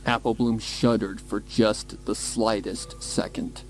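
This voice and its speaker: A man's voice: on the low side, little pitch movement, slow. Man narrating a story over faint music. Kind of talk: scripted horror fan fiction narration.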